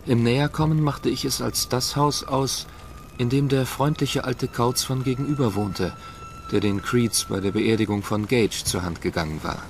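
A man's voice: mid-range voice, moderate pace, slight background noise, natural voice. A man playing an observant witness, giving scripted first-person narration in an audio drama. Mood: sober. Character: observant man recounting a house fire.

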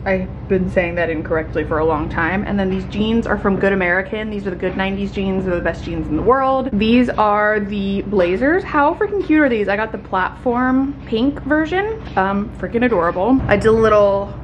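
A woman's voice: mid-range voice, lively intonation, moderate pace, few pauses, highs cut off, noisy background. A young adult woman chatting casually and upbeat to a camera as a vlogger, in an informal way. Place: small room at home and inside a car.

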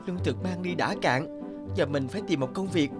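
Guy playing nice humble young cartoon hero, polite, earnest, kinda high voice, music behind. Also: a little fast, close to an everyday voice. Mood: wondering, hopeful. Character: polite, humble, kind-hearted young male wanderer. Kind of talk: cartoon fairy-tale dub. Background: background music.

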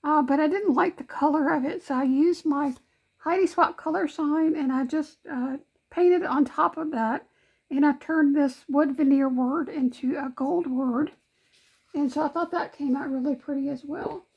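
Lady crafting vlog, high voice, laughs a lot, a little tired voice. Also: normal speed, darkish tone, highs cut off. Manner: chatty, laughs often, talks to her dogs. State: losing her voice. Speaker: crafting channel host. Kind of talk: casual vlog talk. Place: home craft room.

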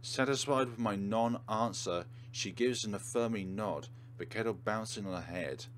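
A man's voice: on the low side, moderate pace, a little hiss or hum. A man hosting a let's play, reading game narration aloud and adding casual commentary.

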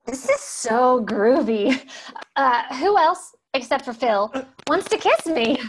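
A woman's voice: high-pitched, animated, takes it slowly, a little hiss or hum, low-quality recording. A woman playing a sweet but blunt kindergarten girl in a comedy table read.

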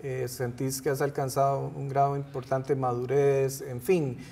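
A middle-aged man hosting a studio interview, asking measured questions with frequent backchannels. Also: medium pitch, little pitch movement, vocal fry, mellow. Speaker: TV interviewer host.

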